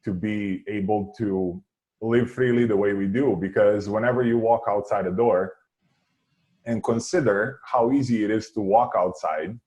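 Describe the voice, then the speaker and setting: A man with a very low voice and a Serbian accent. He speaks earnestly, as in an impromptu speech at a public speaking club. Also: moderate pace, a little mellow, rough recording.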